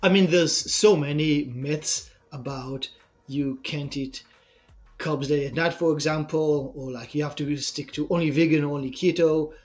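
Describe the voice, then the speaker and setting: A man's voice: fairly high pitch, steady tone, normal speed, lo-fi sound, faint music behind. A young man with an Israeli accent, a personal trainer answering podcast interview questions in an earnest, explanatory way.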